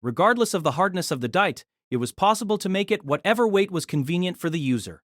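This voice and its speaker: Man narrating, very animated delivery, higher-pitched voice. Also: quick pace, no room sound, studio quality. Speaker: narrator of a fantasy audio drama. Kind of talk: scripted story narration.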